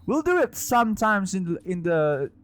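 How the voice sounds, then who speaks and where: High-pitched man streaming a game. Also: very animated, normal speed. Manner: exclamatory, sweary. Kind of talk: casual live gameplay commentary.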